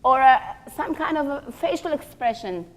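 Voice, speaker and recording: A woman's voice: high-pitched, animated, normal speed. A woman teaching a religious class to women in an earnest, didactic way, often posing rhetorical questions and stressing her points. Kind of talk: religious lecture to a group of women.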